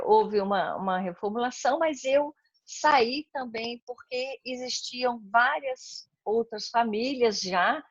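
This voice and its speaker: A Brazilian woman interviewed on a video call, telling stories with warm enthusiasm and frequent tag questions. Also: mid-range voice, no echo, highs cut off. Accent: Brazilian Portuguese. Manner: warm, enthusiastic storytelling with many "né?" tags. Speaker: interviewee, heritage language educator. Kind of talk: casual interview conversation.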